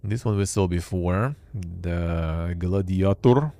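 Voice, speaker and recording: A man's voice: deep voice, takes it slowly, vocal fry, warm tone, no echo. A man hosting a live stream, chatting offhandedly and reading text aloud with wry asides. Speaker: streamer and show host. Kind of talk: casual live-stream commentary.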